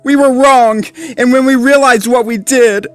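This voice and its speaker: A small male bulldog pup, full of remorse, pleading in a high, sniffling voice, as a man acts him out for a storybook. Each word sounds earnest and choked up. Soft music hums faintly behind the dry reading. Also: steady tone, a little slow, clean tone, dry recording. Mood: remorseful.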